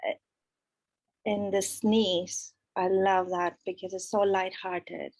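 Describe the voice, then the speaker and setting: A woman on a group video call shares a soft, grateful reflection. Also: mid-range voice, level tone, slow pace.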